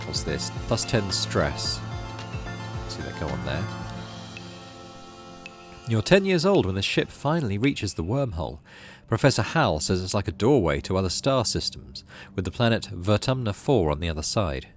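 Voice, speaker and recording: A man's voice: low voice, very animated, moderate pace, music in the background. A man hosting a gaming video, reading story text aloud expressively and adding casual, musing commentary.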